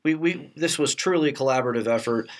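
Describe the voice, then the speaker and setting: A man hosts a relaxed podcast conversation. His voice sits at an average male pitch. He speaks in a halting way, often repeating a word before he carries on. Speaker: podcast host. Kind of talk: casual round-table chat.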